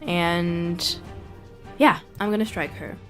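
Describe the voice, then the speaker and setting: A woman's voice: lowish voice, takes it slowly, a bit bright, soft background music. A woman speaking casually as a player taking her turns in a tabletop roleplaying game.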